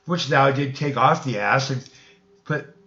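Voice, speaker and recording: A man's voice: medium pitch, measured pace, creaky, pushed and bright, roomy sound. Guy reading as a cheated traveler, pleading, indignant, old scripture tale, dull lo-fi sound. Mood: earnest protest. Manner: pleading, indignant archaic speech. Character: wronged, protesting male traveler pleading for his goods.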